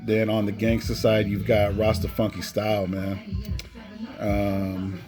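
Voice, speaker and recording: A man's voice: fairly low pitch, completely flat delivery, a little slow. A man casually presenting a collection in an informal way, often ending phrases with "man". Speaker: music collector presenting cassettes. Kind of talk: casual collection showcase commentary. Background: music playing behind the voice.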